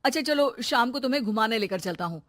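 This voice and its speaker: A gentle cartoon husband who calms and coaxes his family, voiced by a woman. He speaks evenly and kindly, without much fuss. The recording is close and dry. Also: fairly high pitch, quick pace, no echo. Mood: coaxing. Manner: soothing, coaxing. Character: gentle, easygoing young husband.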